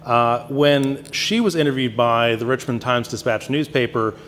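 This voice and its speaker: A man's voice: mid-range voice, normal speed, fairly bright tone, a little hiss or hum. Stuffy-nosed man lecturing in a hall. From Virginia, Shenandoah Valley. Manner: conversational, hesitant with many fillers and restarts. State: stuffy nose from sinus infection. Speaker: conference speaker. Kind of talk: lecture presentation. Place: conference hall. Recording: long hall reverb, faint ambience.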